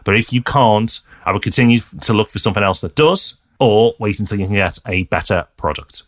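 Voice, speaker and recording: A British man co-hosting a property podcast, giving emphatic, lively advice to a listener. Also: on the low side, fairly quick. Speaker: podcast co-host.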